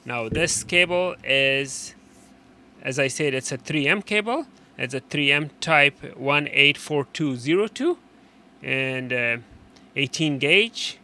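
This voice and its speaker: A man presenting an unscripted technical how-to, explaining in a halting way and often repeating and rephrasing his instructions. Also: medium pitch, animated, sharp tone. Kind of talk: unscripted hands-on tutorial explanation.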